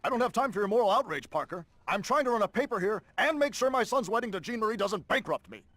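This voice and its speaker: A middle-aged male newspaper chief, brusque and tight-fisted, rattling along at high speed. His pitch rides high and jumps around in this close, dry game recording. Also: lively intonation, fast, fairly bright tone, no room sound. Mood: dismissive. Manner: brusque, dismissive. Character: gruff, impatient, stingy middle-aged newspaper boss. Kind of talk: video game voice acting.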